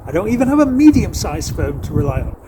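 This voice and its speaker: Deadpan Manchester man in his fifties. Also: high voice, quick pace, background noise. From England. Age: 50s. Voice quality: deadpan self-mocking delivery. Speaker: election candidate. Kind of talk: scripted campaign address with dry jokes.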